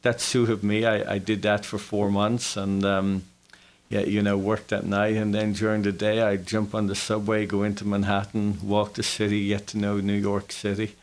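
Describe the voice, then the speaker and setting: A man in his forties with a Dublin Irish accent, recounting his own story casually as an interview guest, with hesitant pauses. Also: fairly low pitch, monotone. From Dublin, Ireland. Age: 40s. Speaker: interview guest, business owner.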